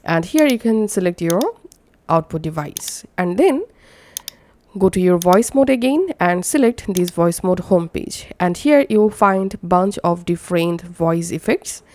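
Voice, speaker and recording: A woman presenting a step-by-step software tutorial as a voice-over. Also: lowish voice, expressive, measured pace, a little hiss or hum. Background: screen-recording voice-over.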